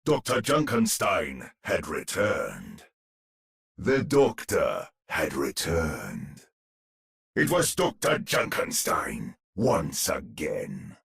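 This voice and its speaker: Game casting: grandiose gothic storyteller knight, low and husky, theatrical swoops, savors every word. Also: male voice, a bit bright, heavily acted. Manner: pompous, dramatic, savoring words. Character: grandiose old storyteller knight narrating a spooky tale.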